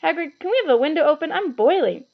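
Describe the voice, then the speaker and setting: A woman's voice: sits high, big pitch swings, fast talker, creaky, lo-fi sound, voice pushed up, heavily acted. A woman voicing an inquisitive, earnest, slightly anxious young schoolboy in a children's storybook. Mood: uneasy.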